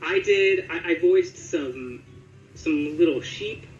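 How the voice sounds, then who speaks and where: A man with a fairly high voice talks in a casual interview as a voice actor. He thinks aloud in a conversational, hesitant way. The room sounds echoey, and music plays faintly behind him. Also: takes it slowly, lo-fi sound. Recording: roomy echo with music underneath.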